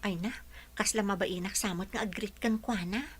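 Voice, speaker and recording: A woman playing an earnest, bashful young student teacher in a radio drama, expressive, with a Filipino accent. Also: mid-range voice, moderate pace, husky, natural voice. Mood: earnest, fretful. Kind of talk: Ilocano radio drama acting.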